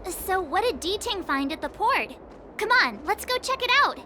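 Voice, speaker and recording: A woman voicing a sweet, cheerful young girl who loves cute animals, expressive and playful, in a video game. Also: very high voice, normal speed, a little hiss or hum, exaggerated voice. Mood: curious, thoughtful. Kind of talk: video game character voice acting.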